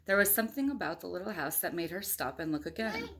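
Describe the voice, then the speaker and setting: A woman's voice: lowish voice, very animated, fast talker, fairly bright tone. Woman reading a storybook aloud to kids. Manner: conversational, engaging, asks the listeners questions. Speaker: storyteller reading a picture book aloud to young children. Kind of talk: read-aloud storytelling with chatty asides to children.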